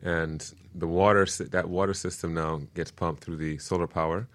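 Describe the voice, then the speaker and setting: A man's voice: low voice, fairly even intonation, normal speed, a bit bright. A man speaking as an expert guest in a casual interview, explaining practical things earnestly and step by step, often checking in with "right?". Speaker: guest permaculture designer explaining his work.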